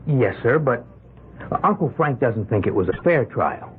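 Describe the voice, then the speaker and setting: A man's voice: mid-range voice, sing-song, unhurried. A timid, polite young man racked with guilt; stammering, wildly varied intonation, vintage radio tinniness. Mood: meek, hesitant. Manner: hesitant, polite, agitated stammering. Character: meek, nervous, deferential, guilt-ridden young man. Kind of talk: old-time radio drama acting. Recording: tinny old radio broadcast, faint noise.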